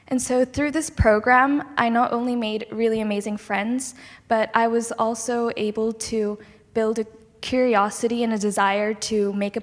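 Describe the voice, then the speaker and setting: A teenage girl from Hong Kong introducing herself fluently and confidently as a student panelist. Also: a little high. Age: teens. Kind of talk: prepared self-introduction. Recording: echoey hall.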